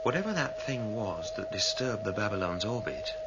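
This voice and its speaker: A man's voice: lowish voice, big pitch swings, normal speed. An actor playing an earnest male starship crewman in a radio drama. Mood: puzzled. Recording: very noisy old radio drama with hum.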